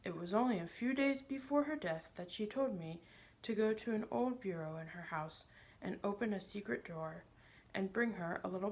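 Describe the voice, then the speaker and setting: A woman's voice: medium pitch, lightly acted. Woman acting out a pompous, sly old uncle. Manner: smug, condescending, wheedling. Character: pompous, self-satisfied, sly older uncle, male magician.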